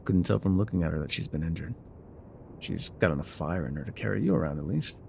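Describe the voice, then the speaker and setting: An actor playing a gruff, wry loner guide in an ASMR roleplay, with a dry, sardonic, unhurried delivery. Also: male voice, low voice, animated, darkish tone, slight background noise, full character voice. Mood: gentle, reassuring. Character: gruff, wry loner man guiding a rider on foot.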